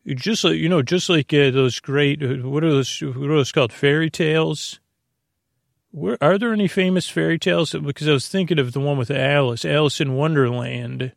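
A man hosting a bedtime podcast in a creaky, gentle, lulling voice, meandering hesitantly off into tangents. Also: a little high, moderate pace, no echo, clean studio sound. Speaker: host of a bedtime-story podcast. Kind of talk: rambling sleep-podcast monologue.

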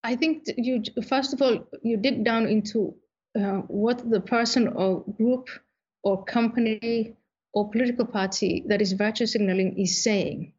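A woman from Somalia, a guest author, answering interview questions in a measured, thoughtful way with frequent pauses and fillers. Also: fairly high pitch, fairly even intonation, a little mellow, breathy edge, lo-fi sound.

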